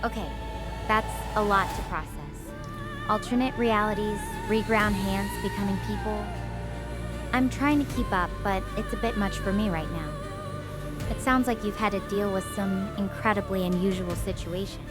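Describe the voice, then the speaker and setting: A woman voicing a stranded young scientist who speaks softly and reflectively, asking sympathetic questions over background music. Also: mid-range voice, fairly even intonation, moderate pace, lightly acted. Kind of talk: improvised fan audio drama.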